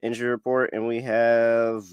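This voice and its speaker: A man's voice: medium pitch, flat delivery, normal speed, clean tone, dry recording, dull audio. A man hosting a sports betting podcast, talking in a casual, slangy way. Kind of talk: casual chat, ad reads and betting picks.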